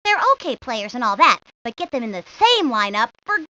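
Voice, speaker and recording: A woman's voice: a little high, sing-song, a little fast, sharp tone, no echo. A woman voicing a talkative, confident young baseball-loving girl in a cartoon video game, rambling on matter-of-factly. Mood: chatty, confident. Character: talkative, sporty young girl, ambitious baseball know-it-all. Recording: dull lo-fi 1990s game audio.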